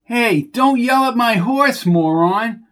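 A man voicing a hot-tempered male racehorse owner who talks gruffly and bosses people around. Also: high voice, lively intonation, normal speed, pitched above the performer's own voice. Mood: angry. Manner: gruff, bossy, threatening.

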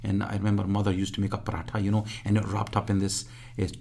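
Hesitant man from Pakistan, gravelly. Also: lowish voice, monotone, rapid-fire, darkish tone. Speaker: guest. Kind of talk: casual interview reminiscence.

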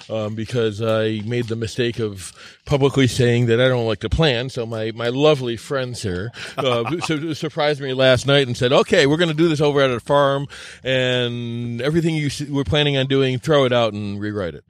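A man hosts a loose, casual conversation at a mid-range pitch. He is halting and stammers, often doubling up words before getting to his point. The talk sounds unscripted, recorded outdoors. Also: dry recording. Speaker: show host. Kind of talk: casual round-table chat. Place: outdoors by a bonfire.

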